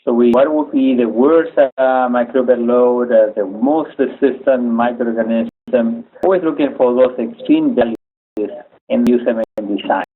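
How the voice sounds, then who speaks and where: Halting man lecturing over tinny audio. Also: medium pitch, husky. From Chile. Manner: halting, with frequent "uh" fillers. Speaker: engineering lecturer. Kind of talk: webinar lecture. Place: home office.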